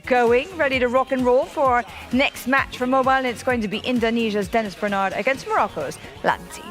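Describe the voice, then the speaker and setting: A woman's voice: a little high, fairly quick. Woman hosting an esports stream, playful with the co-hosts, light music under her. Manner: lively, teasing her co-hosts. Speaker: esports event host. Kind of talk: presenting and introducing matches.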